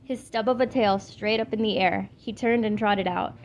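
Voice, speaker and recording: A woman's voice: medium pitch, steady tone, normal speed. A woman reading a story aloud as an amateur narrator. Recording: clearly noisy amateur home recording. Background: background noises.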